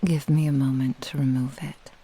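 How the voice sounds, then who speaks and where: A woman voicing a vain, possessive kitsune witch in an intimate ASMR roleplay, speaking in a breathy, lingering whisper. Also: very deep voice, flat delivery, moderate pace, warm tone, full character voice. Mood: courtly, possessive. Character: vain possessive seductive female nine-tailed kitsune witch. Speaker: roleplay audio voice actor.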